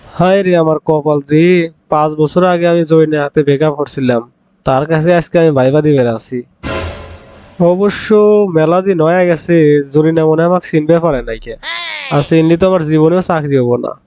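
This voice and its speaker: A man's voice: on the high side, brisk, a little mellow, slight background noise, close to an everyday voice. A man voicing a pleading young job seeker in a cartoon, aggrieved and full of excuses, with a rural Bangladeshi accent. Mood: dismayed. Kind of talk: cartoon comedy dialogue.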